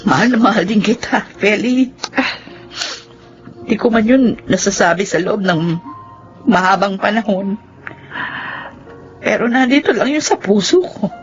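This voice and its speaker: An actress playing an emotional, remorseful middle-aged sister in a Filipino radio drama, speaking in a melodramatic, tearful manner. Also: female voice, mid-range voice, a little slow, long pauses, natural voice. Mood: tender, remorseful. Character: emotional, remorseful woman, long-estranged sister of Feli. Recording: muffled radio drama, faint music.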